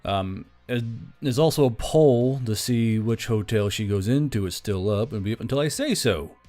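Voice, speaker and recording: A man's voice: lowish voice, lively intonation, moderate pace, mellow, soft background music. A man giving a casual, rambling channel introduction as host, folksy and freely swearing.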